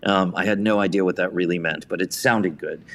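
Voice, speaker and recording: Low-voiced American man telling stories. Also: steady tone, a little fast, fairly bright tone. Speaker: guest, theatre writer and director. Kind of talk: conversational interview storytelling.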